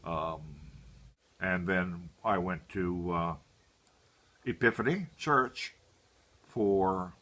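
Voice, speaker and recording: Deep-voiced older man, bright and forceful. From Hawaii, United States. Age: 70s. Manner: halting, deliberate, with long pauses. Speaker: interviewee, grandfather. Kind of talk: unscripted family reminiscence.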